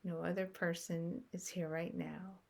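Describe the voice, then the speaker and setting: A woman guiding a meditation in a soft, unhurried way, with long pauses between short phrases. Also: fairly low pitch, flat delivery. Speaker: meditation guide. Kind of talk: guided meditation.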